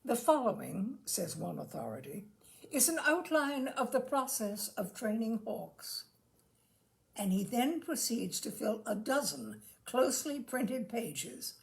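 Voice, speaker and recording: Female narrator for comic literary audiobook: higher-set voice with noticeable fry, very lively intonation, droll mock-gravity. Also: low-quality recording. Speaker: storyteller reading a humorous essay aloud. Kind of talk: literary audiobook-style reading of a comic essay.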